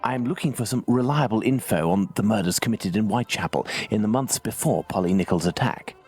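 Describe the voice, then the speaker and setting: An actor playing a brilliant, composed English gentleman detective in a video game, speaking in formal, deliberate, analytical phrases. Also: lowish voice, animated, slightly rough, soft background music. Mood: coolly persuasive. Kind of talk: scripted video game acting.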